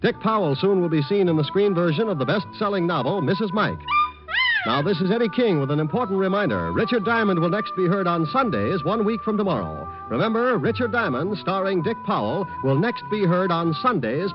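A dull vintage broadcast with music behind it. A male radio announcer reads his script in a high-pitched, animated voice in classic old-time style. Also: brisk.

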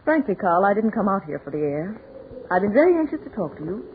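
Woman acting a lively, reproachful society lady. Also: mid-range voice, quick pace, close to an everyday voice. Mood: earnest, reproachful. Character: earnest, reproachful society woman, mature and poised. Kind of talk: 1940s radio drama acting. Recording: scratchy 1940s radio broadcast, muffled, noisy.

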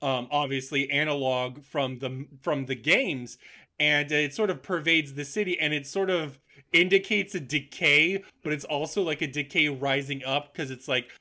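A man co-hosting a podcast, discussing films casually and haltingly as he thinks aloud. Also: a little high, fairly even intonation, bright, forceful tone. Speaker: podcast co-host.

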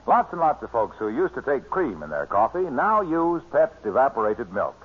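American male announcer, 1940s radio pitch, slightly husky, very lively intonation, thin vintage recording. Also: normal speed, narrow, tinny audio.

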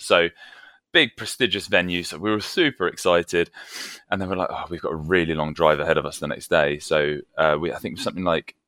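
A British man with a fairly low voice and a bright, forward tone tells tour anecdotes casually. He acts out conversations as he goes, in an animated, chatty way. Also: clear, resonant tone, no room sound. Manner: animated, acts out quoted dialogue. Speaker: podcast guest, metalcore band vocalist.

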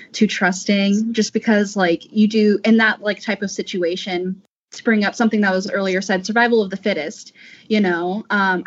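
A woman chatting casually on a panel, often acting out imagined lines as she talks. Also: medium pitch. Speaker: voice actor on a panel. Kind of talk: casual chat. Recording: dull lo-fi audio.

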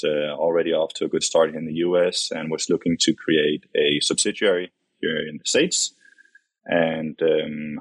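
A man in his late twenties with a Danish accent, chatting casually and haltingly as a modest interview guest. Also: very deep voice, no room sound. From Denmark, grew up in Copenhagen. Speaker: podcast guest, company vice president.

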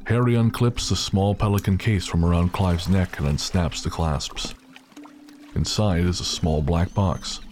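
Deep-voiced somber male narrator. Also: a little mellow, slight background noise. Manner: measured, somber storytelling. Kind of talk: scripted narration.

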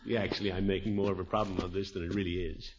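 An American actor playing a blustery, easily flustered middle-aged uncle in a theatrical 1950s radio comedy style, with drawn-out exclamations. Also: male voice, deep voice, flat delivery, a loud crowd, lightly acted. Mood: uneasy. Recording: dull, noisy 1950s radio recording.

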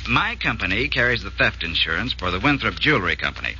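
A man's voice: lowish voice, very animated, quick pace, pushed and bright, exaggerated voice. An actor playing a polite, persistent male insurance agent with a coaxing, hesitant manner, in 1940s radio style. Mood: earnest, explaining. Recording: muffled old radio recording, faint hiss.

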